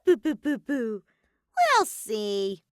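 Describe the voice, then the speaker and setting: A woman performs a villainous robot bear in a fan-made audiobook. The voice is high and very animated, with slow, dismissive taunts, recorded dry and close. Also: no echo, exaggerated voice. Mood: mocking. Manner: taunting, sing-song, dismissive. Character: mocking, evasive robot bear, killing-game villain. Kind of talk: fan fiction audiobook character voice.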